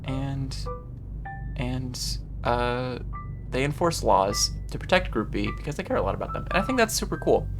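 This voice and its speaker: A gaming video host with a mid-pitched voice talks casually through a playthrough. The delivery is expressive, full of exclamations and reactions. Game music plays clearly behind the voice. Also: normal speed. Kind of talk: casual playthrough commentary, reading game text aloud. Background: game background music.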